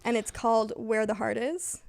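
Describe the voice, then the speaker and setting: A woman's voice: on the high side, fairly even intonation, normal speed, creaky, a little mellow, dry recording, clean studio sound. A woman co-hosting an unscripted, casual chat about books. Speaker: book talk co-host, English teacher.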